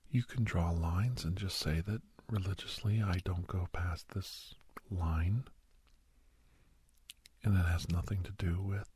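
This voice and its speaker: Guy acting as a doctor doing asmr, super breathy husky whisper, deep, slow n reassuring but firm. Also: darkish tone, no room sound, full character voice. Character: male doctor gently counselling a young werewolf for college. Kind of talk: ASMR roleplay, mostly whispered.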